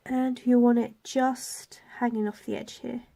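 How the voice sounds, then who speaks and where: A woman with a cold and a raspy, blocked-up voice, demonstrating a card-making project softly, sometimes near a whisper. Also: a little high, little pitch movement, unhurried. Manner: soft, partly whispered while working. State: congested from a cold. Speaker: stamping craft demonstrator. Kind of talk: craft tutorial.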